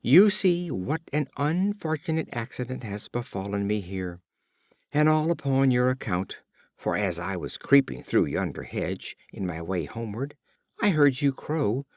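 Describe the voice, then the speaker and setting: A man voicing a sly, flattering male fox caught in a trap, with artful, wheedling storybook speech. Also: medium pitch, moderate pace, no room sound, clean studio sound, lightly acted.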